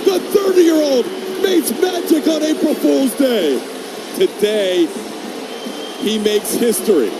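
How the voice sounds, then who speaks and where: A man calling a baseball highlight as a play-by-play announcer, excited and projecting hard. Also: takes it slowly, long pauses. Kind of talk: excited shouted game-highlight commentary. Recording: very noisy broadcast highlight clip.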